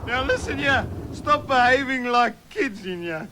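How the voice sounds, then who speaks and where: An extremely high, shrill, exasperated authority figure who scolds slowly and emphatically. It is madcap 1970s radio comedy acting on a very noisy old recording. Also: male voice, animated.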